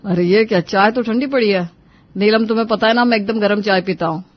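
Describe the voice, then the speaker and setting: A woman's voice: medium pitch, a little fast, no echo, muffled recording, natural voice. A woman voicing a fussy, demanding husband in a cartoon family story. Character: fussy, demanding husband, adult office worker. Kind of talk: cartoon family drama acting.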